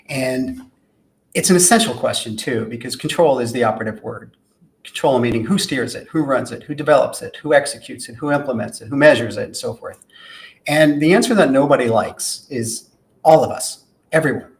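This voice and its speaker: Soft-spoken man with an even voice. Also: mid-range voice, vocal fry, rough recording. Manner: measured and articulate, lists points. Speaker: podcast guest. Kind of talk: interview answers.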